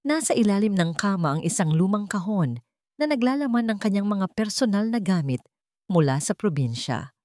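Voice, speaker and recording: A woman's voice: medium pitch, big pitch swings, normal speed, mellow, dry recording. A woman reading a scripted romance story as a dramatic narrator.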